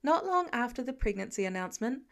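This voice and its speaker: High-voiced woman narrating a story. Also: big pitch swings, quick pace, fairly bright tone. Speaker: podfic narrator.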